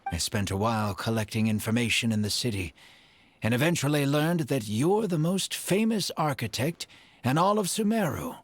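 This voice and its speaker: An actor in a video game playing an earnest, proud man of the desert, speaking in formal, measured phrases. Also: mid-range voice, expressive, no room sound, lightly acted. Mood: guarded, explanatory. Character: earnest, proud desert-dwelling man, elderly or ailing, apologetic.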